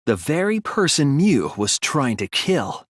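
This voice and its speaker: A man voicing an earnest young male student detective in a video game, explaining his deductions in a deliberate, thoughtful way. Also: a little high, sing-song, no room sound, clean studio sound. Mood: measured reasoning. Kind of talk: scripted video game voice acting, deduction speeches.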